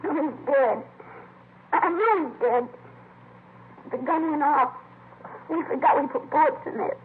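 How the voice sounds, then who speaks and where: An actor playing a guilt-stricken young boy of about nine, halting and pleading, in a vintage radio drama. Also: high-pitched. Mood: guilty, scared. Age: child character, about nine. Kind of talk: 1950s radio drama acting. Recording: tinny, noisy vintage radio recording.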